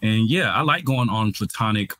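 A man's voice: fairly low pitch, animated, normal speed, fairly bright tone, no room sound. A man in his twenties hosting a podcast, talking openly and reflectively about his own life. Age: 20s. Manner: open, reflective, candid. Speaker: podcast host. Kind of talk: podcast conversation, personal sharing.

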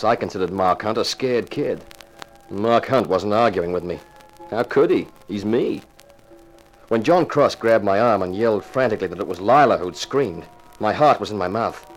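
A man's voice: on the low side, expressive, moderate pace, soft background music, lightly acted, rough recording. An actor playing a tough, wisecracking insurance investigator who narrates his own case in a 1950s radio crime drama, with a sardonic, commanding manner. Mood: tense, wry. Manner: hard-boiled, sardonic, commanding.

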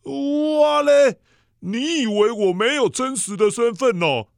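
A man voicing an excitable, folksy old Taiwanese uncle who becomes a space hero in a children's radio play, full of exclamations. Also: extremely high pitch, slow, creaky, slight background noise, heavily acted. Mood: boastful, heroic. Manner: exclamatory, folksy, stammering in indignation. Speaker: children's story show host. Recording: music loud behind the voice.

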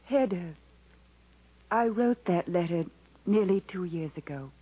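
An actress playing a genteel, remorseful old sweetheart in a 1950s radio drama, hesitant and emotional, with a breathy, husky voice. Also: female voice, on the low side, animated, a little slow. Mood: regretful. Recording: tinny 1950s radio broadcast recording.

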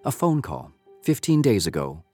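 A man's voice: lowish voice, sing-song, normal speed. A man narrating a scripted audio drama in a storytelling style. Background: music.

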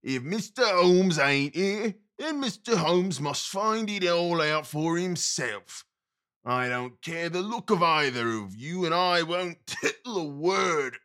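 A man's voice: a little high, lively intonation, slow pace, sharp tone, exaggerated voice. An actor playing a stubborn, indignant old man who grumbles in uneducated dialect. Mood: stubborn, defiant.

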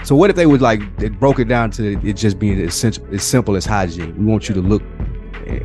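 A man's voice: fairly low pitch, brisk, darkish tone, soft background music. An American man co-hosting a casual podcast, talking in slang and slipping into acted-out hypothetical quotes. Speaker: podcast co-host. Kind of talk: casual chat.

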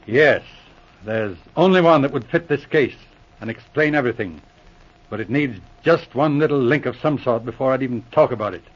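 An actor playing a thoughtful, kindly police sergeant who reasons deliberately, in 1940s radio drama style. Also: male voice, mid-range voice, lively intonation, close to an everyday voice. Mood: thoughtful, puzzled. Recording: muffled vintage radio recording.

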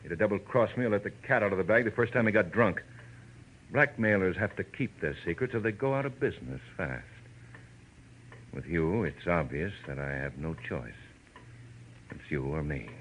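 Cold schemer, deep, animated voice. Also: male voice, normal speed, pauses often. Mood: cold menace. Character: cold, calculating male talent agent turned murderer. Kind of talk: 1940s radio drama acting. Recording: noisy old radio recording.

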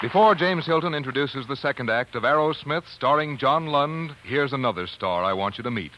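A man's voice: medium pitch, lively intonation, fairly quick, highs cut off, noisy background. A man announcing on 1940s radio, hosting a scripted sponsor chat. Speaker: announcer and commercial host. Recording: 1940s radio broadcast recording.

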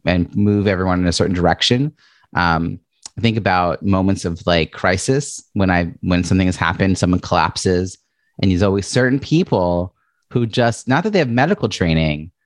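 A male podcast host, chatty and expressive, introducing and talking with a guest. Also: deep voice, moderate pace, dry recording, clean studio sound. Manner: chatty, says he has a loud voice and big presence. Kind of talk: interview podcast.

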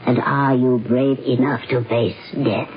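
A performer with a low voice playing a stern, regal Samoan mother in a 1970s radio drama, solemn and portentous. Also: steady tone, close to an everyday voice. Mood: solemn, warning. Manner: slow, solemn, portentous, riddling. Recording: dull vintage radio recording.

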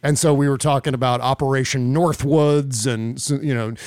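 A man's voice: mid-range voice, fairly even intonation, moderate pace, darkish tone, no room sound. A man hosting a podcast, chatty and jokey, delivering upbeat casual banter with announcer-like flourishes. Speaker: podcast host.

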